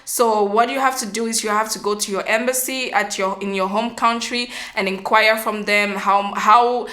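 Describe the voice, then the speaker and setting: A young woman from Cameroon, giving earnest step-by-step advice in a vlog, often pausing on "um" and restarting. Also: medium pitch, steady tone, pushed and bright. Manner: earnest, explanatory, frequent um fillers and restarts. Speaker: vlogger.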